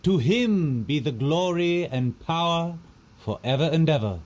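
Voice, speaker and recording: A man's voice: on the high side, animated, measured pace, darkish tone. An actor playing a solemn clergyman in an audio drama, reverently intoning a burial rite.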